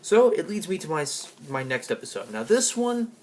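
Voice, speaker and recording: Man giving an earnest, halting monologue. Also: on the high side, very animated, a little mellow, slight background noise. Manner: earnest, halting, repeats himself. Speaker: video blog host. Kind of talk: unscripted personal fan tribute monologue.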